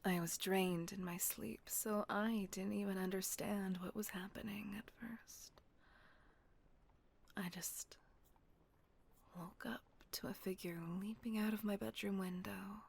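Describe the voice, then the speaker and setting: A woman voicing a gentle, possessive female vampire mistress in a whispered audio roleplay, slow and coaxing. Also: mid-range voice, steady tone, slight background noise. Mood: comforting. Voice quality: breathy whisper. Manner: slow, coaxing, softly reassuring.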